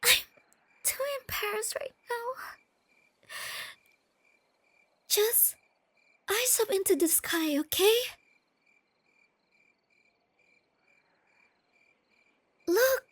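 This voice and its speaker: A woman playing a shy, nerdy, easily flustered young girlfriend in a soft-spoken ASMR roleplay, with a girlish, hesitant, stammering delivery. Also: very high-pitched, lively intonation, measured pace, no room sound, heavily acted. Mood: flustered, panicked. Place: close dry recording with night insects behind.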